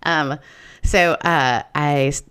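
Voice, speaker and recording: A woman's voice: low voice, steady tone, slow pace, a bit bright, clean tone. A woman hosting a podcast, chatting casually with a friend and rambling with lots of fillers. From Southern US, Canada and Britain. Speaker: podcast host. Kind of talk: casual conversational interview. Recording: intro voiced over music.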